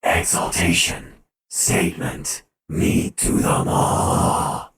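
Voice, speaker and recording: A cold, hungry alien swarm overlord, very deep and gravelly, a slow, clipped, breathy rasp that booms from far off in a cavernous echo. Each feeling is named flatly before each pronouncement. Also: male voice, full character voice. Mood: exultant. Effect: heavy echo effect. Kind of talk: audiobook character voice.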